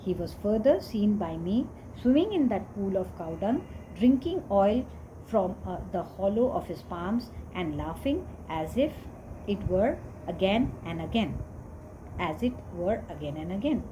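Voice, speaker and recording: A woman's voice: mid-range voice, very animated, unhurried, dark tone, poor audio. Woman reading scripture over noticeable background noise. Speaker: reader of scripture.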